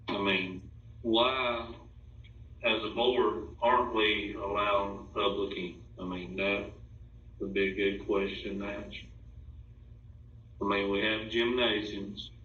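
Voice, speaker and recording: A man making plain-spoken, questioning and halting remarks off the cuff at a board meeting. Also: on the low side, sharp tone. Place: indoor meeting room. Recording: roomy, distant, dull sound, faint noise.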